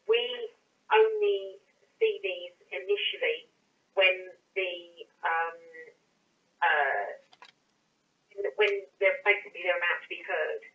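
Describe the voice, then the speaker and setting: A woman's voice: fairly low pitch, sing-song, slow, long pauses. A woman handling a call for an office, explaining carefully and hesitantly with many stammered repeats over a distant, tinny telephone line. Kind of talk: explanatory phone conversation.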